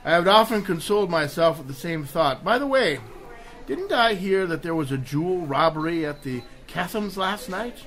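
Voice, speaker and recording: A man playing a wry, urbane gentleman. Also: sits high, expressive, normal speed. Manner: dry, teasing, urbane. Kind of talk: 1930s radio drama acting.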